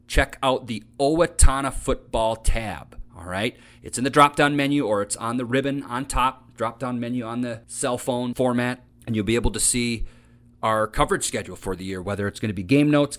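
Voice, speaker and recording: A man's voice: mid-range voice, fairly bright tone. An American man hosting a podcast, talking casually and enthusiastically as he promotes events, repeating phrases for emphasis. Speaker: podcast host.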